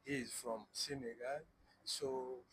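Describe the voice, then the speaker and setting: Slow husky interpreter, halting. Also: male voice, medium pitch, steady tone, fairly bright tone, low-quality recording. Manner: halting, restarts phrases. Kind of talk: interpreting between French and English.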